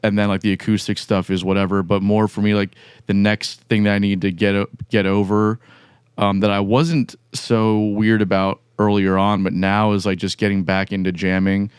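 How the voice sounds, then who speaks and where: A man with a low, even voice thinks aloud in halting, reflective chat. His voice comes through very clear and crisp. The sound is dry, with no room echo. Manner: halting, reflective, many restarts. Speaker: podcast host, musician. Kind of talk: casual podcast chat.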